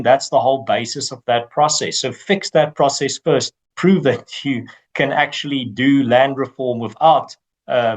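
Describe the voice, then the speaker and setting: South african guy, afrikaans first language, pushy and argumentative, stumbles and restarts, mid pitch. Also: poor audio. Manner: assertive, argumentative, many restarts. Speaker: guest, advocacy group spokesman. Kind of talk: podcast interview.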